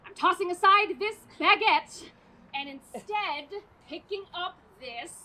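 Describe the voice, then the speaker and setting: A brash woman mayor acted on stage with a very high, bright voice. She swaggers like an action hero, defiant and bombastic. The comic radio play is recorded outdoors with faint noise. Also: expressive, takes it slowly, exaggerated voice. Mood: gloating. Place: outdoors in a parking lot.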